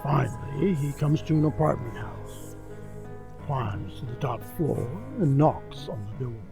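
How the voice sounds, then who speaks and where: A man narrating a radio mystery drama in a measured storytelling manner. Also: mid-range voice, creaky, loud music behind the voice. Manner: measured storytelling in present tense.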